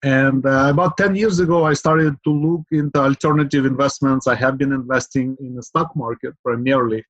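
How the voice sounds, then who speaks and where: A middle-aged man from Ukraine, a podcast guest, talking in an earnest, measured way with fillers, often asking "right?". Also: mid-range voice, fairly even intonation, dry recording, muffled recording. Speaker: guest, real estate investor. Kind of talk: podcast interview.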